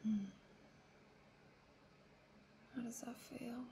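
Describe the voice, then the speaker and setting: Woman as a slow, creaky-voiced taunting vampiress. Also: on the high side, steady tone, dark tone, slight background noise, low-quality recording. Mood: gloating, sadistic. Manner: taunting, slow, breathy half-whispered delivery. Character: playful, menacing female vampire prisoner, seductive and cruel. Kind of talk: scripted roleplay audio drama.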